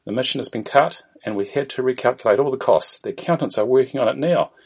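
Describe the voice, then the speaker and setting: A man's voice: medium pitch, quick pace, creaky, darkish tone, slightly rough, voice pushed up. A man voicing an apologetic, officious fleet bureaucrat who is defensive in a comedy sketch. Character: apologetic bureaucratic Starfleet official making excuses.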